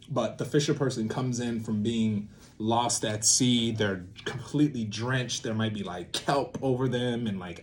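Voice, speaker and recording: A man's voice: mid-range voice, a little hiss or hum. A man giving an informal, improvised tutorial, rambling playfully and thinking aloud with asides to himself. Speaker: theatre maker presenting a creative method series.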